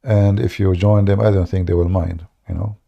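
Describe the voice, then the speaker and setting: Gravelly low-voiced man lecturing earnestly. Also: level tone, moderate pace, lo-fi sound. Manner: earnest, lecturing, speaks English as a second language. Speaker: host. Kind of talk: casual chat answering a caller.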